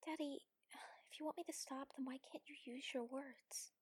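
A woman's voice: high-pitched, fairly even intonation, no echo, studio quality, lightly acted. A woman playing a submissive, teasing warrior lover in an intimate adult audio roleplay, whispery and pleading. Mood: coy, teasing. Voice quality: breathy, whispery. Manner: slow, pleading, coaxing.

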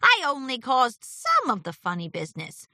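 A woman performs a theatrical male chaos creature from a children's storybook. The voice is high and bright, with big dramatic swings. He sounds haughty and wounded, savoring every florid phrase. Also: huge pitch swings, normal speed, dry recording, clean studio sound, heavily acted. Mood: sulky, wounded. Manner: haughty, melodramatic, florid wording.

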